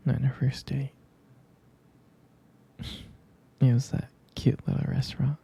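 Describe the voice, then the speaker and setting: A man playing a jealous, devoted boyfriend in an intimate ASMR roleplay, murmuring tenderly and hesitantly in a breathy, husky near-whisper. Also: medium pitch, flat delivery, slow, warm tone, full character voice. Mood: tender, nostalgic. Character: jealous, protective, devoted young boyfriend soothing his partner.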